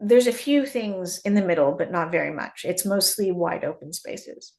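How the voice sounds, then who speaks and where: A woman's voice: on the low side, animated, normal speed, a little mellow. A woman originally from Canada, a mining industry guest in an interview, explaining at length with frequent restarts and repeated words. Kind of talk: conversational interview.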